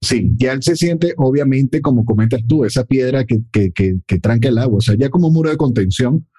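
A man speaks fluently in a relaxed chat, explaining details and correcting facts as he goes. His mid-range voice is husky and mellow. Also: medium pitch, a little fast. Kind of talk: casual chat.

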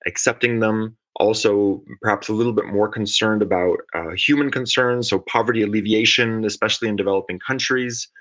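Middle-aged ecologist guest, low voice, reflective and measured, dull lo-fi recording. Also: male voice, steady tone, a bit bright. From California. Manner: thoughtful, measured, with restarts. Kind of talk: conversational podcast interview. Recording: dull lo-fi audio.

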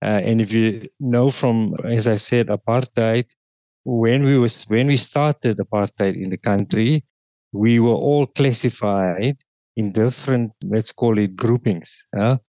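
A man from South Africa, a guest in an interview, telling his life story in a halting way with many restarts. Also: lowish voice, dark tone, no room sound, highs cut off. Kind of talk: casual interview testimony.

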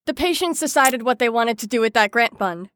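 An actress playing an earnest, dutiful female clinician in an audio drama, measured and clinical. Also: a little high, lively intonation, fairly bright tone, dry recording, studio quality. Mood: puzzled, insistent.

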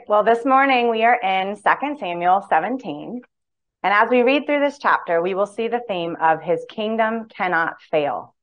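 A woman's voice: medium pitch, muffled recording. A woman speaking earnestly and candidly as she teaches a women's Bible study in a church hall. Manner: earnest, candid, reads long quotes aloud.

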